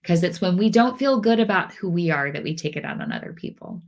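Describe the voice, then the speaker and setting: Woman host, middle-aged, lowish voice, nasal from a cold, earnest, loose and repetitive. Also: lively intonation, a little fast. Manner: earnest, repeats phrases, many restarts. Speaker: parenting podcast host. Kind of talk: casual talk to camera.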